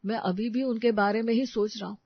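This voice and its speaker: A woman's voice: a little high, steady tone, brisk, no echo. An earnest young man, voiced by a woman, argues with impassioned, righteous insistence in a family melodrama. The recording is dry and a little muffled. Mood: urgent pleading. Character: earnest, indignant young man defending a wronged widow. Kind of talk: Hindi audio story melodrama.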